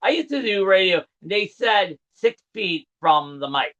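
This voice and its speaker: A man with a big, forceful voice, pitched high for a male, talks sports casually as a show co-host. The audio is somewhat muffled and lo-fi. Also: sing-song, unhurried. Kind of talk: casual sports recap chat. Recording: dull, lo-fi sound.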